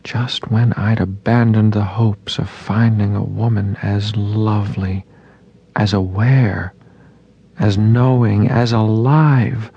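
An actor playing a moody, romantic man voicing his letters in an audio drama, measured and earnest, with a gravelly, husky voice. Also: on the low side, mellow, muffled recording, heavily acted. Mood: tender, romantic.

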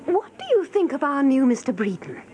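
An actress playing a prim, eager office secretary in a British radio drama, with an English accent and a genteel, gossipy, earnest manner. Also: female voice, high-pitched, very animated, fairly quick, a little mellow.

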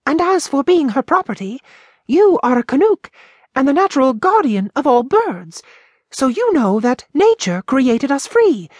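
A man reading aloud and voicing a stuffed robin redbreast who argues gravely, in a high put-on voice. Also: big pitch swings, unhurried, no room sound. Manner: grave, reasoning.

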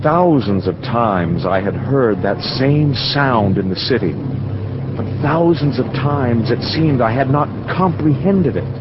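Male narrator, mid pitch, solemn incantatory radio-drama reading, dull lo-fi audio. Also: animated, background noise. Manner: slow, solemn, incantatory delivery.

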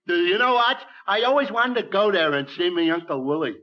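An actor playing a dim-witted male barroom regular in a 1940s radio comedy, with a slow, dopey delivery. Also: high-pitched, animated. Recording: dull, noisy old radio recording.

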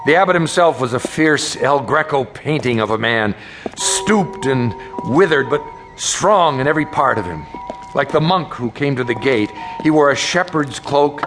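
A man's voice: mid-range voice, lively intonation, moderate pace, over music, lightly acted. A man playing an exhausted traveling scholar in a radio drama, intense and dramatic. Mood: grave recollection. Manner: urgent pleading, hushed when narrating. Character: desperate, exhausted male traveler and scholar, recalling his ordeal.

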